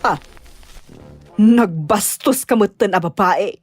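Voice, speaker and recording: A woman's voice: mid-range voice, takes it slowly, faint music behind, lightly acted. A woman acting a blunt, exasperated older mother and grandmother in an Ilocano-accented radio-style drama, nagging and emphatic. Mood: angry reproach. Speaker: voice actress.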